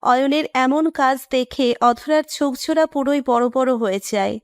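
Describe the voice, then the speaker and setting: High-pitched woman narrating a story. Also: normal speed, dry recording, studio quality.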